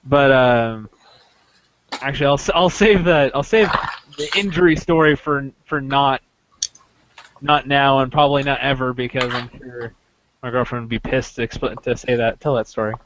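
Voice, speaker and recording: A man hosting a podcast, casually recounting an experience in a halting way, often interrupting himself as he goes. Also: mid-range voice, steady tone, highs cut off, noisy background. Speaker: podcast host telling a story.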